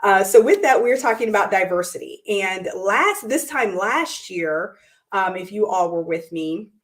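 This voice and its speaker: A woman hosting her weekly show, hoarse and raspy from losing her voice, talking upbeat with lots of ums and restarts in a room by a window. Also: medium pitch, expressive, unhurried. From United States. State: hoarse from lost voice. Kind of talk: casual talk to camera.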